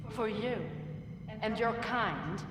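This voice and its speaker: A woman's voice: a little high, expressive, slow pace, background noise. An actress playing a bitter, defiant woman prisoner who speaks with scorn in a dramatic video game scene. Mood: scornful defiance. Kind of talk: scripted dramatic game dialogue. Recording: distant echoey hall with background crowd.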